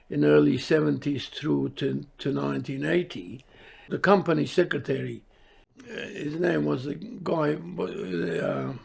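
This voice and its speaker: A husky older man from Iran gives a reflective interview. His voice is full of creak and rasp. He picks his way through sentences haltingly, searching for the next word. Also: a little high, darkish tone. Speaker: service club member interviewed.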